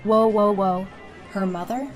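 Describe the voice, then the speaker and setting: A woman plays a feisty survivor in an audio drama, her voice mid-pitched. She is blunt and quick to challenge, with slangy phrasing. Music plays clearly behind her. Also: natural voice. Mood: incredulous.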